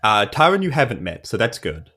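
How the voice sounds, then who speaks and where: A man's voice: lowish voice, sing-song, a little fast, sharp tone, husky, faint music behind, heavily acted. A man with a New Zealand accent playing a polite, exasperated human adventurer in a tabletop game, hesitant and pleading. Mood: hurt, hesitant. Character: weary, polite, awkward human male adventurer. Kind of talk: improvised tabletop roleplay.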